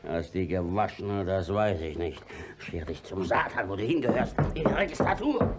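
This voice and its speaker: An actor playing a scheming, flustered village judge in an old radio play, blustering and stammering. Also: male voice, sits low, expressive, moderate pace, slightly rough. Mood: evasive, flustered. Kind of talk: 1950s German radio play acting. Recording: noisy old 1950s radio recording.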